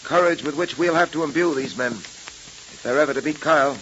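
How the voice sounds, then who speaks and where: A man's voice: a little high, fairly bright tone, slight background noise, natural voice. Man acting earnest detective hero, muffled old radio. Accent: American. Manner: earnest, formal, deliberate phrasing. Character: resolute, principled male police detective hero. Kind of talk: 1930s radio serial acting.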